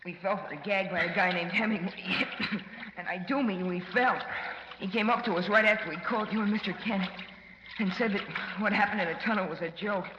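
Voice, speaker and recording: A man's voice: high-pitched, brisk, close to an everyday voice. An actor playing a plucky, wisecracking teenage boy sidekick in a 1940s radio serial, with slangy, wry delivery. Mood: wry, shaken. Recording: echoey, muffled, noisy old radio recording.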